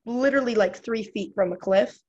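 A woman's voice: on the high side, a little fast, a little mellow, highs cut off. An American woman interviewing a friend in casual chat, talkative and full of fillers, laughing often.

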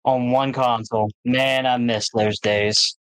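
A man's voice: medium pitch, fairly even intonation, measured pace, fairly bright tone, smooth, no echo, low-quality recording. A man in his late twenties trading jokes and memories with friends on a podcast, often breaking into laughter. His voice sits in a middle register and the recording is dry, with no room sound. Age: late 20s. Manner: laughs often, joking and reminiscing. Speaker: podcast co-host. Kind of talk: casual chat.

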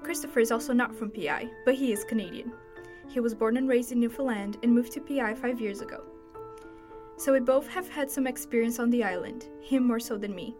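A soft-spoken host from Brazil leads a relaxed interview chat, her voice sitting a little high. She asks her questions gently and laughs easily, with faint music under the recording. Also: fairly quick. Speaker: podcast host.

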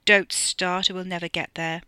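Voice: medium pitch, flat delivery, moderate pace, sharp tone, smooth, dry recording. A narrator voicing an uptight, patronising young upper-class man with an exasperated manner in an audio story. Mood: tense, exasperated. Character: uptight, nervous, condescending young posh man.

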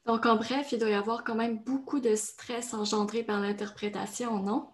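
A woman's voice: a little high, steady tone, normal speed. A woman hosting a podcast interview, asking encouraging questions. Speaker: podcast host.

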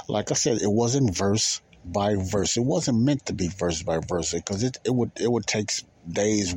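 A man gives an informal solo teaching talk as a podcast host. His voice is fairly low and rough-edged, and he takes his time. The sound is close and dry. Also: dry recording.

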